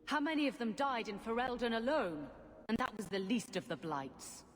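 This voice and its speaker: A woman's voice: fairly high pitch, lively intonation, normal speed, close to an everyday voice. An actress playing a zealous, determined woman mage in a fantasy video game, earnest and insistent in her speech. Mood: insistent, resolved. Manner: earnest, insistent, coolly persuasive. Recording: long hall reverb, background ambience noise.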